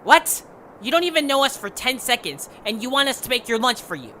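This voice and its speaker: A woman's voice: sits high, sing-song, a little fast, pushed and bright, exaggerated voice. A woman voicing a blunt, defiant young adventurer with a sarcastic manner in an audio drama. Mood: indignant. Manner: sarcastic, combative. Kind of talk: cartoonish audio drama acting. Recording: faint background noise.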